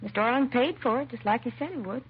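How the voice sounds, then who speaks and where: An American actress playing a timid, self-doubting young secretary in a 1950s radio drama, hesitant and apologetic. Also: female voice, on the high side, fast talker, natural voice. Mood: earnest, hesitant. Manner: hesitant, nervous, apologetic, trailing and restarting. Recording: muffled vintage radio recording, faint hiss.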